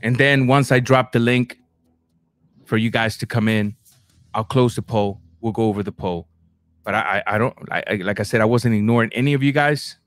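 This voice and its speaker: A man from Cuba, hosting a casual boxing livestream and reading viewer comments, animated, stumbling over his words and jumping up in pitch. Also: moderate pace, no room sound. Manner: animated, repeats words and restarts, rising to high bursts.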